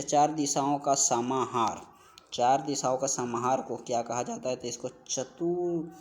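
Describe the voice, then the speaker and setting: A man with a medium-pitched voice gives an exam-prep lesson. He works through practice questions in an instructive way, saying questions and answers over again so that they stick. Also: darkish tone. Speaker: Hindi grammar teacher for exam preparation.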